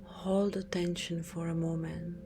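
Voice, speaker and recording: A woman speaks in a soft, breathy half-whisper, soothing and unhurried with long pauses, as a yoga teacher leading a guided relaxation meditation. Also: lowish voice, flat delivery, slight background noise. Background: music.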